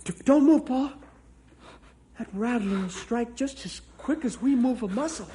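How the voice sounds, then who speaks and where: A woman's voice: a little high, expressive, dark tone. A bold young boy. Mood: tense. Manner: quick, bold boyish calls. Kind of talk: 1950s radio drama acting. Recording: old radio recording with faint hiss.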